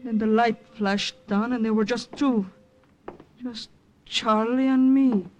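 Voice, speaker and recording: An actress playing a brave, wary young woman recruit in a 1940s wartime radio drama, with earnest, halting, dramatic delivery. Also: fairly high pitch, fairly even intonation, darkish tone, dull audio, a little hiss or hum. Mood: tense narrating. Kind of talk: 1940s radio acting and first-person narration. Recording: old radio drama with music.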